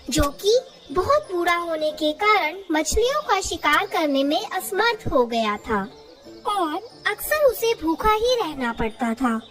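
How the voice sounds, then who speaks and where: Female children's story narrator, very high-pitched, animated intonation, measured delivery over faint music.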